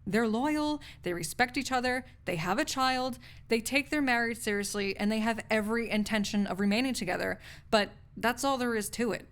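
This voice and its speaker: A woman's voice: a little high, moderate pace, few pauses, fairly bright tone, close to an everyday voice. A woman playing a snarky, cynical young research assistant in an audio roleplay, talking in a pedantic, lecturing way with scholarly wording. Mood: cynical, matter-of-fact. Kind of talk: intimate audio roleplay acting, conversational monologue to listener.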